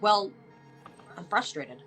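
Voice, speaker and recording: A woman's voice: medium pitch, animated, slow, faint music behind. A woman playing a frustrated, worried mother who bluntly demands help from the police, in a film table read. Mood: frustrated, insistent. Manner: blunt, exasperated, sharp-tongued.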